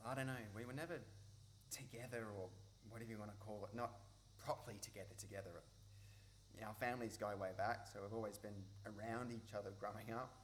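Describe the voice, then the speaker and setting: A man acting an awkward, caring young Australian friend in a radio play, exasperated but loyal, speaking in a halting way. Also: lowish voice, steady tone, natural voice. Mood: confessional. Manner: halting, self-correcting. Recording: noisy live radio play recording.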